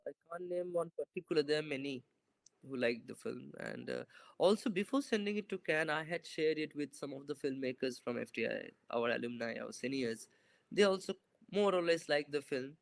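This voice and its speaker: A man's voice: fairly high pitch, expressive, moderate pace, vocal fry, no room sound. A young man, a filmmaker, answering interview questions over a video call in a thoughtful, hesitant way with many fillers and restarts. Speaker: filmmaker guest. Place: bright indoor room. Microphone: video call, laptop camera.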